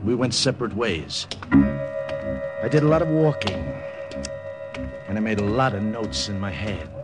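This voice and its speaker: An actor playing a tense American undercover agent in a radio drama, speaking in a clipped, matter-of-fact way. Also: male voice, on the low side, sing-song, unhurried, loud music behind the voice. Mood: terse, watchful. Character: tense American undercover agent narrating his wartime mission. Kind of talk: old-time radio drama narration and dialogue.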